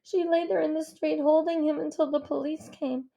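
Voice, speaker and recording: A woman's voice: sits high, flat delivery, gravelly, mellow, voice pushed up. A woman doing a shaken young boy. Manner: shaky, halting.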